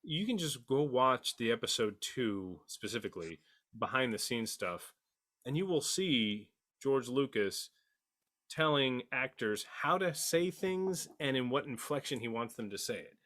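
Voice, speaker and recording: A man's voice: medium pitch, lively intonation, unhurried, fairly bright tone. A man talking emphatically and with strong opinions in a group discussion about a series, repeating phrases for emphasis. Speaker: podcast panelist.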